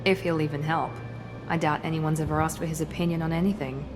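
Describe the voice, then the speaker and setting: A woman playing a sceptical starship officer in a video game, speaking in a measured, cautious way. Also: deep voice, flat delivery, over music, lightly acted. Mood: wary. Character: wary, sceptical female officer on starship crew. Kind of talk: video game dramatic acting.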